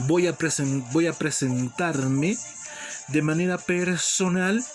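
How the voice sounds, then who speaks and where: A man teaching an Aymara lesson, repeating each phrase slowly and calling his students brothers and sisters. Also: a little high, darkish tone. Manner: slow, deliberate repetition of phrases. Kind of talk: online language lesson.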